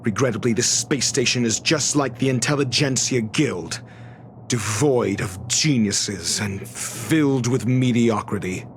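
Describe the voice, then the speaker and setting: An actor playing an arrogant, fastidious scholar-doctor in a video game, speaking in a pompous, measured, formal way. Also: male voice, medium pitch, a little hiss or hum, natural voice. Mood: disdainful. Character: arrogant, erudite male scholar-doctor, fastidious and disdainful of fools. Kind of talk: scripted video game cutscene dialogue.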